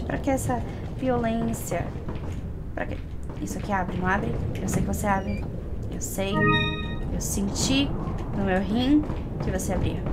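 A woman's voice: medium pitch, heavy background noise. A young adult woman with a Brazilian accent giving casual, playful gameplay commentary, chatting to herself and to the game. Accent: Brazilian Portuguese. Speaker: gaming streamer. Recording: loud game sound behind voice. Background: loud game ambience.

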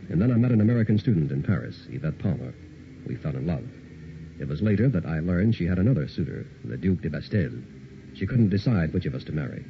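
Very deep-voiced, troubled count. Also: male voice, sing-song, fast talker, gravelly, full character voice. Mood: somber, recounting. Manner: grave, hesitant, subdued. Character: troubled, weary young count of a lonely castle. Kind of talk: old-time radio horror drama acting. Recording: muffled, hissy old radio recording.

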